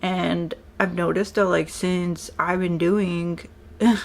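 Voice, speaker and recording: Young woman, strong New York accent, gravelly low voice, blunt chatty makeup vlogger. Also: steady tone, takes it slowly. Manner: chatty, blunt, says like a lot. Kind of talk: casual makeup tutorial chat.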